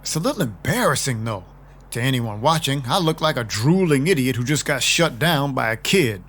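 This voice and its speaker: An actor playing a world-weary but compassionate vampire detective, narrating an audio drama in a dry, conversational first person. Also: male voice, mid-range voice, lively intonation, normal speed, fairly bright tone. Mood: dry, explanatory. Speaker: narrator and lead.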